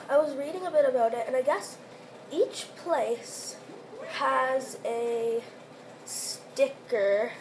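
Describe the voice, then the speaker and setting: A woman giving excitable let's-play commentary on a video game while sick and losing her voice. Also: on the high side, slight background noise, poor audio. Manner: excitable, exclaims often. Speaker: gameplay commentator.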